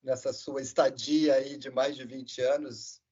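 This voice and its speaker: A man interviewing a guest, asking relaxed questions that trail off. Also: mid-range voice, unhurried, slightly rough. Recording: dull lo-fi remote audio.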